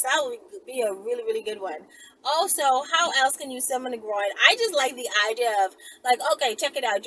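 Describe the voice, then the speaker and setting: Higher-pitched woman vlogging over background noise. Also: sharp tone, rough recording. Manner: conversational, emphatic, thinking aloud. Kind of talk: casual rambling solo talk. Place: inside a vehicle in traffic.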